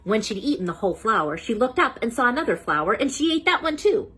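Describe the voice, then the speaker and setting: Animated woman reading a storybook aloud. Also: fairly high pitch, fast talker, breathy edge. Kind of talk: animated children's book read-aloud.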